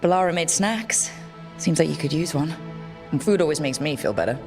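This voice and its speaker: A woman playing a steady, supportive adventurer and leader in a video game, calm and matter-of-fact. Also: sits low, brisk, over music.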